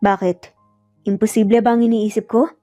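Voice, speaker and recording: A woman's voice: a little high, little pitch movement, normal speed, dark tone, soft background music, natural voice. A woman voicing a serious, demanding man in a romance story, speaking in a firm, pressing, challenging manner. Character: serious, demanding adult man confronting his former lover. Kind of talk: dramatic romance audio storytelling.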